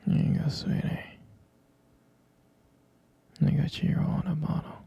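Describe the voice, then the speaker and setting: A man playing a devoted, soothing expectant father in an intimate ASMR roleplay, murmuring affectionately in a soft, breathy, husky whisper. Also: fairly high pitch, level tone, full character voice. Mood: caring, soothing. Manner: slow, murmuring, reassuring, affectionate.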